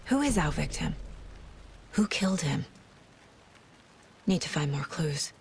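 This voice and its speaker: A woman's voice: sits low, expressive, unhurried, vocal fry, slight background noise, heavily acted. A woman playing a composed, dry-witted female FBI agent in a video game, speaking in clipped, analytical phrases. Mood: focused, analytical. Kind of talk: video game dialogue and investigative monologue.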